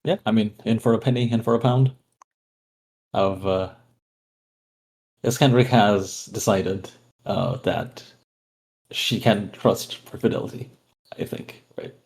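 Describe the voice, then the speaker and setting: Low, creaky-voiced man at a game. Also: a little slow, pauses often, darkish tone, rough recording. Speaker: tabletop game player. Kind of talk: casual improvised game talk.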